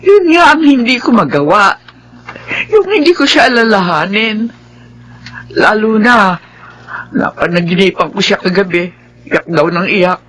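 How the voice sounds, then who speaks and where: A man's voice: high voice, expressive, unhurried, long pauses, a bit bright, exaggerated voice, rough recording. A man playing a worried elderly grandparent in a radio drama, anxious and plaintive. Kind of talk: Filipino radio drama acting.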